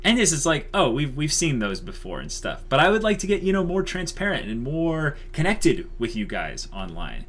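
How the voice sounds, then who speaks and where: A man's voice: fairly high pitch, very animated, moderate pace, hardly any pauses, fairly bright tone. A man co-hosting a YouTube channel, chatting casually and unscripted in an enthusiastic, emphatic way, repeating himself for emphasis.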